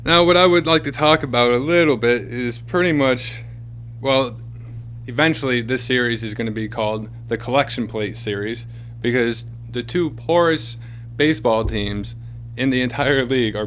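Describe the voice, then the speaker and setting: Co-host, male, mid pitch, relaxed chatty delivery. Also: moderate pace, a little hiss or hum. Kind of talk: casual chat on a public access show.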